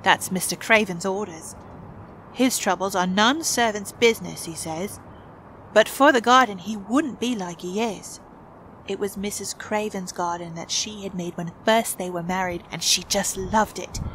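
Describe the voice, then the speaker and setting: An actress playing a kindly young housemaid, telling things in a homely, gossipy way. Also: female voice, mid-range voice, normal speed, fairly bright tone, a little hiss or hum, natural voice, poor audio. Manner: gossipy, homely dialect storytelling.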